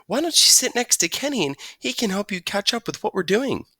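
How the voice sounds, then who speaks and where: A brisk schoolteacher woman, as a male reader puts her on, her voice raised high and her directions crisp. The pitch rises and falls in lively swings. The recording is dry and close. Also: no room sound, exaggerated voice.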